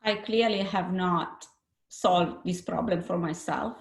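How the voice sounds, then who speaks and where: A woman from Italy with an Italian accent, a webinar panelist answering candidly with self-deprecating, halting restarts. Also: lowish voice, vocal fry, echoey room.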